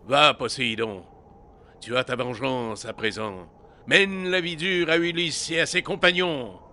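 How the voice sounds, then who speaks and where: An actor playing Zeus, a blustering, fatherly king of the gods in a comic audio drama, giving booming commands. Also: measured pace, sharp tone, a little hiss or hum. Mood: commanding. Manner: booming commands, stammers when flustered.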